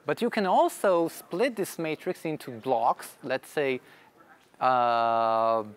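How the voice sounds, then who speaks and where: A man's voice: medium pitch, takes it slowly. A man whose first language is Hebrew, giving a technical conference talk and answering audience questions, explaining step by step with frequent restarts. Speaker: conference speaker.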